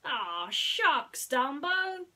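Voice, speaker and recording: A woman's voice: high-pitched, sing-song, drawn-out words, bright, forceful tone, pitched above the performer's own voice, full character voice. A woman voicing a small, friendly male mouse with a folksy, hesitant way of talking in a bedtime story. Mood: bashful, touched.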